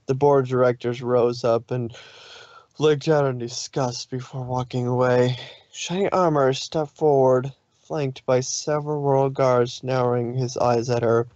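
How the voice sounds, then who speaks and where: A man reading fan fiction aloud as an expressive story narrator. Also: medium pitch, unhurried, no echo, highs cut off.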